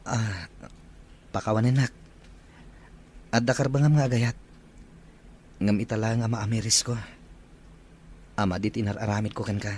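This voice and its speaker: A man with an Ilocano Filipino accent playing an earnest, excitable young son in an audio drama. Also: fairly low pitch, normal speed, long pauses, a little hiss or hum, lightly acted. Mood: regretful, earnest. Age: young adult. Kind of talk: radio-drama dialogue.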